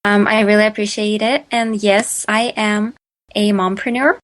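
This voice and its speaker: Ukrainian-accented woman, halting podcast guest. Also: medium pitch, steady tone. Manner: hesitant, many fillers and restarts. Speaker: guest entrepreneur. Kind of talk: podcast interview answers.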